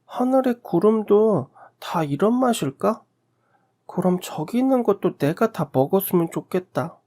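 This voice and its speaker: A sweet little girl, acted by a man in a radio story drama. The voice is lifted high, curious and eager as a small child talks with parents. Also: lively intonation, unhurried, mellow. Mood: curious, wondering.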